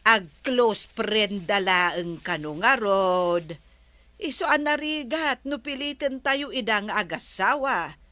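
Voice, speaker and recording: Eager ilocano wife playing matchmaker, bright voice, dry radio drama. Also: female voice, medium pitch, animated, slow, no room sound. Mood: eager, gossipy.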